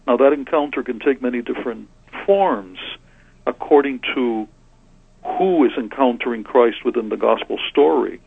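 A soft-spoken man in his sixties, originally from Cuba, thinks aloud and often restarts his sentences. His voice is gravelly and a little husky. It comes through a thin, phone-like line with a dry, close sound. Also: mid-range voice, normal speed, no echo. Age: 60s. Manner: soft-spoken, reflective, frequent hesitations and restarts. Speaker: Trappist monk and author. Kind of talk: thoughtful interview answers.

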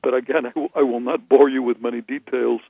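A man in his sixties from Cuba, a Trappist monk answering interview questions in a soft, reflective way with many hesitations and restarts. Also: mid-range voice, steady tone, quick pace, creaky, husky, no echo. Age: 60s. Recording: tinny phone line.

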